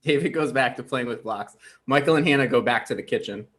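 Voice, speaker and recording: A man's voice: medium pitch, brisk, a bit bright. A man reading stage directions as narrator in a scripted comedy table read.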